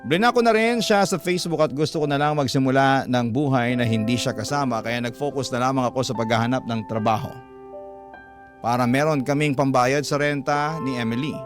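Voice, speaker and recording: An actor reading a letter in the first person as a weary young man recounting his hardships, in a measured, radio-style narration. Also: medium pitch, lightly acted. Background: music.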